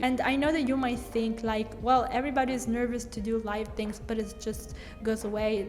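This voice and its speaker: A woman's voice: on the high side, a little mellow, long reverb tail. A young woman from Italy with an Italian accent, chatting candidly in a Q&A vlog about her design business, speaking English as a second language. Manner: candid, chatty, with frequent "like" fillers. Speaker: brand designer and content creator. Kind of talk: casual Q&A vlog.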